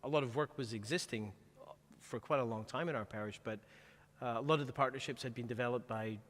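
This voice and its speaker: A man with a mid-pitched voice hosts a live church interview as a pastor. The long reverb of a large hall trails his words. His delivery is conversational and halting, often rephrasing as it goes. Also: fairly bright tone. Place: church, before the altar.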